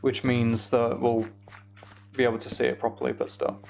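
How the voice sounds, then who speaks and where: A man streaming a game, chatting casually and thinking aloud in an unhurried way as he plays. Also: mid-range voice, completely flat delivery, creaky, noisy background. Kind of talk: casual gaming livestream chat.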